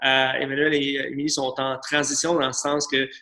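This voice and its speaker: A man's voice: medium pitch, little pitch movement, moderate pace, pushed and bright. A middle-aged man, asking questions hesitantly with many fillers as the host of a video-call interview. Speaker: church pastor interviewing. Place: living room. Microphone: laptop camera on a video call.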